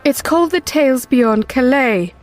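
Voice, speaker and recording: An actress playing a composed, earnest woman who retrieves occult books, with measured delivery in an audio drama. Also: high voice, animated, soft background music. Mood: composed, guarded.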